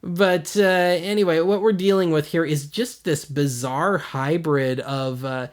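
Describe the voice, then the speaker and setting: A man's voice: fairly high pitch, a little slow. An American man hosting a video series, giving casual commentary in a wry, blunt, self-deprecating way. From United States.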